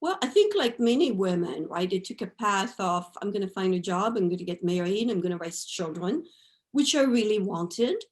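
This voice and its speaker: A woman, speaking at a middling pitch as an interview guest. She talks in a thoughtful, unhurried way, looking back over her life and tagging her points with "right?" and "you know". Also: very animated. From France. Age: 50s. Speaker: guest artist. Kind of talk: reflective interview talk.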